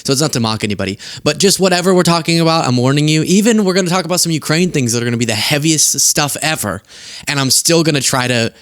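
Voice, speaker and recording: Young male host, casual and animated, tired but giggly, dry close studio sound. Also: no room sound. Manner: animated, giggly, quick asides and sudden high outbursts. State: tired from lack of sleep. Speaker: political commentary host. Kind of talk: casual political commentary to camera. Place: home studio at a desk. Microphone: earpiece and studio mic.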